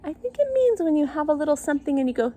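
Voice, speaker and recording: A woman's voice: high-pitched, very animated, very fast, warm tone, a little hiss or hum. A woman reading a picture book to young children in a sing-song style, with simple child-directed phrasing and little questions. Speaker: children's circle time teacher and story reader.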